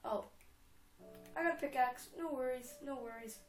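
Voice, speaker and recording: A woman's voice: high-pitched, normal speed, echoey room, faint music behind. A young woman casually commenting on her own game, rambling and giggling as she goes. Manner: chatty, rambling, giggly self-talk. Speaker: gamer. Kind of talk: casual let's play commentary.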